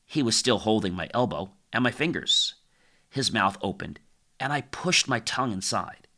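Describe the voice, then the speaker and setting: Animated male audiobook narrator. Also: fairly low pitch, normal speed. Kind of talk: scripted first-person fiction narration, dramatic audiobook reading.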